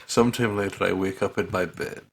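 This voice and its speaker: Slightly husky, low-voiced joking man. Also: a little fast. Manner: jokey, sweary, breaks into silly riffs. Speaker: gaming channel co-host. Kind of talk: playful let's-play, reading aloud and joking. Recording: music playing behind the voice. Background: game music.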